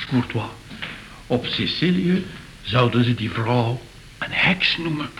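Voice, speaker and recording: An actor playing an earnest, mystically minded art curator in a radio play, solemn and reflective. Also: male voice, mid-range voice, expressive, takes it slowly, background noise. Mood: awed, intrigued. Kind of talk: Dutch-language radio play acting.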